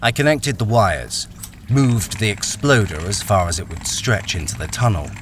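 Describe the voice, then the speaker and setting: A man's voice: lowish voice, very animated, normal speed, noisy background. A man playing a wary, experienced miner, acting and narrating a radio crime thriller in a guarded, brooding way. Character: wary, experienced male miner, blackmailed, narrating his ordeal.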